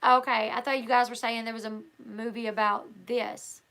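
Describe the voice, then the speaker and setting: A woman who is hosting a livestream, reading a book aloud and chatting casually with her viewers. She is hoarse and talks loosely, with fillers and restarts. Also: fairly high pitch, little pitch movement, moderate pace, pushed and bright. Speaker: livestream host.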